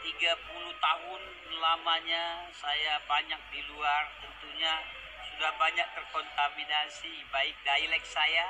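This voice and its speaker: A man's voice: fairly high pitch, completely flat delivery, unhurried, low-quality recording. A man from Aceh speaking Indonesian with a mixed regional accent, giving a rambling religious testimony as a guest. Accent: mixed Indonesian regional, Medan and Malay touches. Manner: rambling, lists many places. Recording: music playing underneath.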